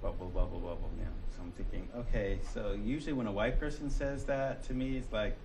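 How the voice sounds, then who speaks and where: A man's voice: fairly low pitch, fairly quick, background noise. An American man giving a college lecture in a classroom. He talks conversationally, keeps asking "right?", and acts out people's inner voices. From United States. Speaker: college instructor.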